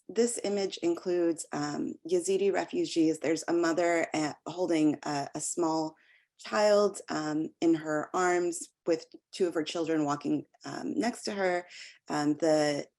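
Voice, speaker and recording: Low-voiced American woman lecturing. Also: takes it slowly, darkish tone. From United States. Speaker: academic researcher giving a lecture. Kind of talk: lecture with slides.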